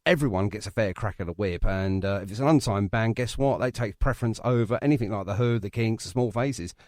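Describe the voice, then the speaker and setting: A man's voice: fairly low pitch, a little fast, darkish tone, dry recording, studio quality. A chatty, matey English man hosting a casual radio interview, speaking with some emphasis.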